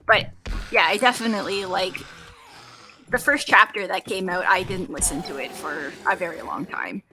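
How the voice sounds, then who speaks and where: A woman's voice: fairly low pitch, normal speed, low-quality recording. A woman giving a casual interview while gaming, speaking informally and peppering her sentences with "like". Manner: informal, heavy use of "like". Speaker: interview guest, first-time voice actor. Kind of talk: casual chat while playing a video game.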